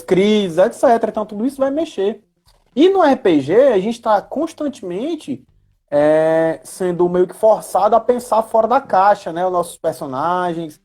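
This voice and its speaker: A man with a Brazilian accent explaining things in a casual live discussion, deliberate and pausing between phrases. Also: high-pitched, darkish tone. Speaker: live stream discussion participant. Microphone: live video call.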